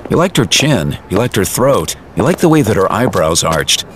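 A man's voice: on the low side, sing-song, normal speed, noisy background. A man giving scripted, vivid storytelling narration for an audio drama.

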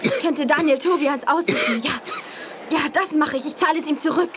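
An actress playing a touchy, vain young would-be TV announcer in a children's radio play. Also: female voice, high-pitched, brisk, natural voice. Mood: defiant. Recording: noisy old cassette radio play.

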